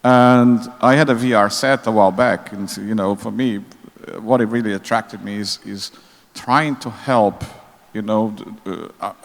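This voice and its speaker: A man giving an informal introduction on a conference panel, halting and searching for words. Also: lowish voice, fairly even intonation, creaky. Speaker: panelist, tech entrepreneur. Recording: echoey hall reverb.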